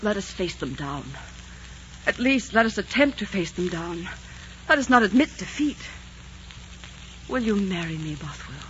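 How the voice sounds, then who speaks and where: A woman's voice: mid-range voice, very animated, normal speed, pauses often, a bit bright, a little hiss or hum. An actress playing a passionate, despairing queen in love, in theatrical, romantic 1940s radio drama style. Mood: yearning. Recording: muffled 1940s radio recording.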